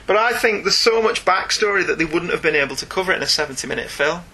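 A man's voice: high voice, lively intonation, quick pace, pushed and bright, slightly rough, poor audio. A chatty, enthusiastic man co-hosting a podcast, talking casually and reading out listener emails. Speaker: podcast co-host. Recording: faint background noise.

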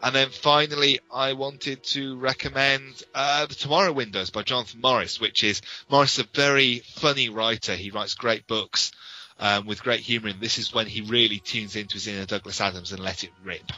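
A man's voice: medium pitch, steady tone, normal speed, sharp tone, highs cut off. A man co-hosting a podcast, giving detailed, bookish and opinionated reviews in an informal but partly prepared style. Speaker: podcast co-host. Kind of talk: informal review talk with prepared history segments.

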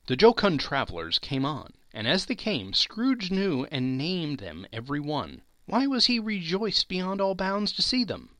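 A man's voice: fairly high pitch, huge pitch swings, measured pace, hardly any pauses, a bit bright, no echo. A man reading a classic story aloud as dramatic narration, theatrical and emphatic.

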